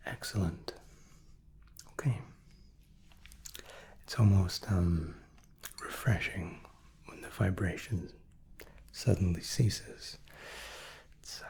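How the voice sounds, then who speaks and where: A man doing an ASMR medical roleplay in a soft, breathy whisper, slow, methodical and reassuring as he plays an examiner. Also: deep voice.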